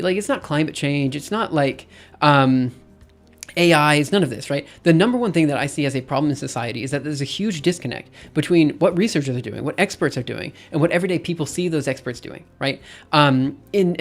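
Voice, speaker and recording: A man in his thirties talking casually on a live stream, thinking out loud with lots of fillers and restarts. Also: fairly high pitch. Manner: rambling, thinks aloud with many fillers and restarts.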